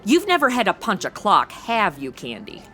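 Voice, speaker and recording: A woman's voice: medium pitch, huge pitch swings, moderate pace, bright, forceful tone, faint music behind. A woman playing a sarcastic, blunt modern sidekick in a comedy audio drama, talking casually. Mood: sardonic. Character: snarky modern young woman tagging along as sidekick. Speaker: show's lead and host.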